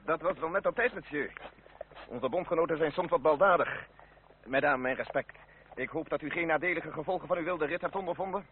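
An actor playing a courteous aristocratic cavalry captain in a radio play, with formal, polished manners. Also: male voice, fairly high pitch, brisk, lightly acted. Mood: suave, courteous. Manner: formal, polite, suave. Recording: narrow, tinny old radio recording, faint noise.

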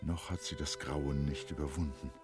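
Very deep-voiced man narrating over strings. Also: fairly even intonation, normal speed, music in the background. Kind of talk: literary scripted narration in a radio play.

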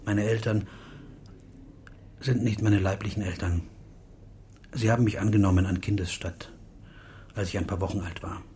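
An actor playing a grave, bitter Russian dissident intellectual in a radio drama, speaking in a deliberate, confiding way. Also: male voice, fairly low pitch, steady tone, darkish tone, slight background noise, natural voice. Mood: quiet confession.

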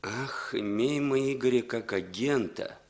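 A man's voice: medium pitch, measured pace, a little mellow, close to an everyday voice. An actor playing a sly, scheming Western intelligence handler in a Soviet radio play, insinuating and ironic as he probes. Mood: scheming, reflective.

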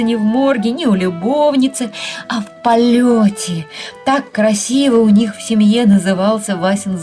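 A woman narrating a scripted short story for radio, expressive and wry in her storytelling. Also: fairly high pitch. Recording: music behind.

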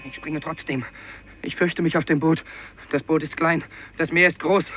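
A man's voice: on the high side, steady tone, moderate pace, a bit bright, husky. A man acting a panicked, desperate fisherman in a 1950s radio play, exclaiming frantically. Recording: dull old radio recording.

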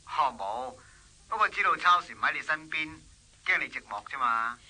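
Bright, husky earnest suitor. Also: male voice, a little high, lively intonation, moderate pace, exaggerated voice, rough recording. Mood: friendly, coaxing. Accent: Hong Kong Cantonese. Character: earnest, hopeful suitor, eager to please. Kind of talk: Cantonese radio drama acting.

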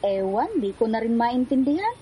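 A woman's voice: a little high, big pitch swings, normal speed, noisy background. An actress playing a poor, weary and baffled mother from a slum in a radio drama. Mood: weary, exasperated.

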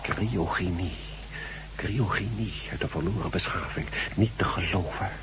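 A man acting in a Dutch radio drama as a learned doctor excited by scientific discovery, speaking in an emphatic, lecturing manner. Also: sits low, unhurried. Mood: amazed. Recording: echoey, noisy radio play recording.

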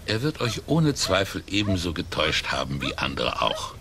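An actor playing a fat, gossipy, smugly judgmental tavern keeper in a radio play with a gravelly voice. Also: male voice, low voice, sing-song, moderate pace, full character voice. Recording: loud ambient noise behind voice.

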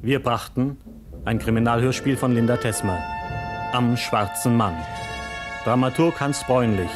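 A man reading cast and credits as a formal, deliberate 1970s radio announcer. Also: mid-range voice, music in the background.

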